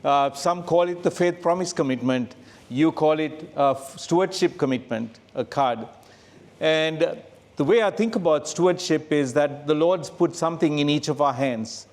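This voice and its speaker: Conversational Sri guest preacher. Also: male voice, on the high side, normal speed, darkish tone. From Sri Lanka. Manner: conversational, with frequent "uh" fillers. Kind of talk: sermon. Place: church sanctuary.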